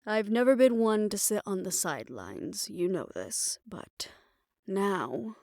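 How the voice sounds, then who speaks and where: A woman playing a weary, proud aging fixer talking softly and wryly to her lover in an intimate roleplay audio drama. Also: medium pitch, creaky, dry recording, studio quality. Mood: weary, resigned.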